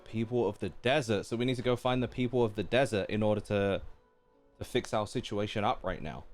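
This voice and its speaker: A man's voice: fairly low pitch, brisk. A man giving casual live commentary as a game streamer, chatty and musing aloud. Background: faint game music.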